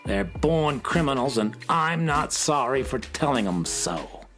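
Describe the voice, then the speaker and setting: Casting: male actor as officious, bullying inspector villain for kids' radio play, stern and threatening, with expressive delivery. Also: mid-range voice, a little slow, over music. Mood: stern, accusing. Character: menacing, rude, rigid male police inspector of music. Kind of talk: children's audio drama acting.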